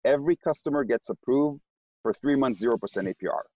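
A man's voice: medium pitch, fast, a little mellow, dry recording. A man with an Israeli accent, a company co-founder, explaining his business in an interview and often repeating words as he starts sentences.

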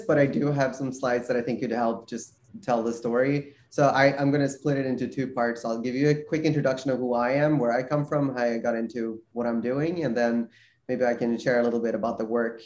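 A man's voice: medium pitch, fairly even intonation, a little fast, a little mellow, reverberant. A man from Sweden, a guest on a video call interview, talking casually with many fillers and restarts. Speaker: guest researcher.

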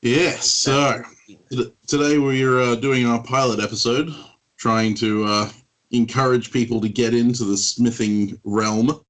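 Brief: male podcast co-host, mid-pitched voice, relaxed and easygoing, casual filler sounds. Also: a little slow, rough recording.

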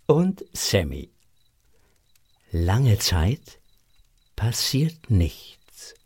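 A man's voice: on the low side, big pitch swings, extremely slow, darkish tone, slightly rough, no room sound. Male narrator with a close, dry sound. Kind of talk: scripted children's audio drama narration.

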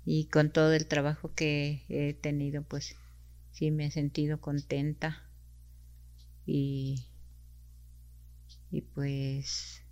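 A woman from Mexico, answering interview questions softly and hesitantly, with many fillers. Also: sits low. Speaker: interview guest.

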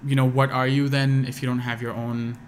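A man's voice: medium pitch, little pitch movement, a little fast, slight background noise. A young man from Pakistan speaks in a casual podcast chat. His American accent comes through as he circles his thoughts with fillers and false starts. A faint room ambience sits behind him. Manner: hesitant, restarts often, many fillers. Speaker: guest musician.

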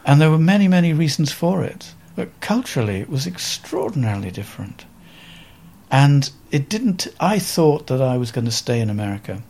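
An older Welsh man speaks slowly and reflectively, often pausing with fillers as he searches for the right word. His voice is mid-pitched and plain, and he sounds like a seasoned photographer recounting his own memories. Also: very animated, darkish tone. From Wales. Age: around 70. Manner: thoughtful, halting, with many fillers and restarts. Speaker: photographer guest. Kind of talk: reflective interview storytelling.

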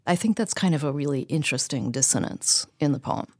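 Female panelist, low register with vocal fry, reflective and articulate literary talk. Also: lively intonation, a little fast, a little mellow, no echo, clean studio sound. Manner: articulate, reflective, feeling out phrases. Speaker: poetry magazine editor, podcast panelist. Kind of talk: thoughtful literary discussion.

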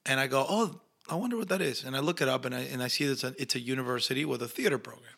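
Bright-voiced man with an Argentine accent. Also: mid-range voice, racing, gravelly. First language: Spanish. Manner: animated storyteller acting out quoted voices. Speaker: actor guest. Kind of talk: casual interview storytelling.

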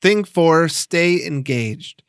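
A man's voice: fairly high pitch, animated, very slow, noisy background. A man hosting a podcast, reading scripted documentary narration in an instructional way with emphatic signposting. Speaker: podcast host.

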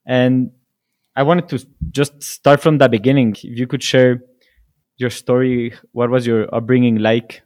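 A man hosting a podcast, a native Spanish speaker asking questions in English slowly and hesitantly, full of admiration for his guest. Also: medium pitch, fairly even intonation, darkish tone. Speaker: podcast host. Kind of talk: podcast interview.

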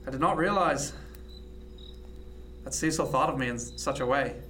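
A man playing a reserved first mate in a radio drama, quietly tender, with an understated, formal delivery. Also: medium pitch, moderate pace, a little hiss or hum, natural voice. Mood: uncertain.

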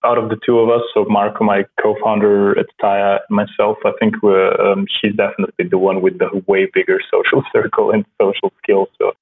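A man with a Slovenian accent, a startup co-founder chatting in a soft-spoken, halting way with many restarts. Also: on the low side, little pitch movement, slightly rough. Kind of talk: casual conversation. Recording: tinny phone-like line.

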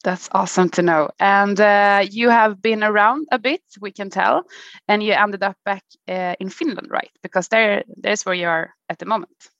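A woman's voice: mid-range voice, normal speed, fairly bright tone, dry recording. Woman host, chatty and upbeat, laughs a lot; very dry, dull lo-fi call sound. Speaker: podcast host. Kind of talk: casual interview.

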